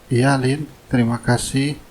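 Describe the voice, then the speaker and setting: Man to voice poor young suitor for cartoon drama, humble and courteous, breathy rough-edged voice with very little pitch movement. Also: flat delivery, measured pace, lightly acted. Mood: polite.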